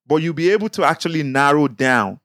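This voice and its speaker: A man's voice: fairly high pitch, animated, a bit bright, no echo. Nigerian man arguing his point, dry sound. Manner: measured, explanatory, argues his point. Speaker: podcast co-host. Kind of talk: casual podcast discussion.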